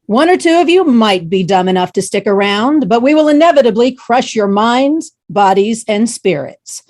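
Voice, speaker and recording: A woman's voice: fairly high pitch, animated, moderate pace, a little mellow. A woman playing a brutal, menacing, foul-mouthed warehouse trainer in a screenplay table read. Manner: menacing drill-sergeant bluntness.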